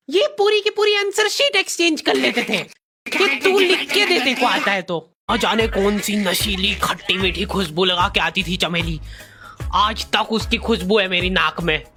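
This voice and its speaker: A man narrating a comic first-person cartoon story in an exaggerated way, his voice sounding artificially pitched up. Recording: faint background music.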